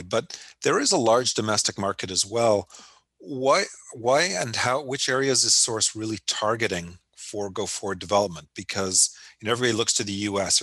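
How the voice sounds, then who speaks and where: Bright-voiced male podcast host. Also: fairly low pitch, dry recording. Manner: conversational, rambling questions with fillers. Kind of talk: casual interview.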